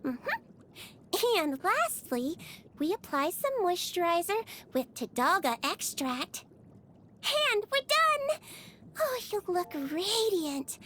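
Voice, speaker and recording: A woman voicing a small, sweet, childlike nurse in a video game, speaking in a gentle, chatty and earnest way. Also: extremely high pitch, big pitch swings, takes it slowly, a little hiss or hum, exaggerated voice. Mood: sweet, cheerful. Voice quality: childlike, cutesy. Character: small sweet girlish nurse creature, caring and cheerfully earnest. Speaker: infirmary nurse. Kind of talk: video game character voice lines.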